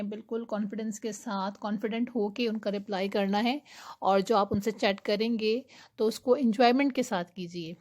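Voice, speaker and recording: A young woman teaching IELTS speaking tips, giving her English tips slowly and deliberately between quicker explanations. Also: fairly high pitch. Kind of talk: explanatory lesson talk.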